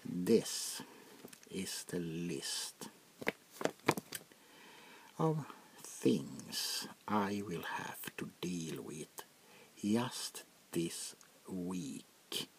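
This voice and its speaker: An aristocratic male vampire prince, played by a man speaks softly for an ASMR roleplay. His low, creaky voice swings expressively and often sinks to a whisper. He is languid, jaded and mockingly polite. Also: animated, very slow, pauses often, very noisy, exaggerated voice. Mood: weary. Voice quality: gravelly, often dropping to a whisper. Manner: languid, world-weary, mockingly courteous. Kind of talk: soft-spoken ASMR roleplay.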